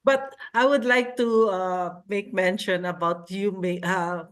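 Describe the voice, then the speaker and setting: Slow-speaking woman with a Filipino accent. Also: mid-range voice, fairly even intonation. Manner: earnest, halting, with restarts. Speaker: panel participant.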